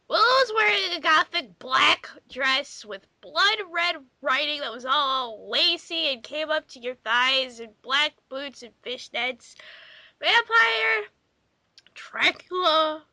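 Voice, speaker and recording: A woman's voice: sits high, big pitch swings, takes it slowly, bright, forceful tone, no echo. A woman reading aloud in an exaggerated, theatrical way as part of a group game. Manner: theatrical, exclaiming. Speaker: player in a dramatic reading game. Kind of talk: exaggerated dramatic reading among friends.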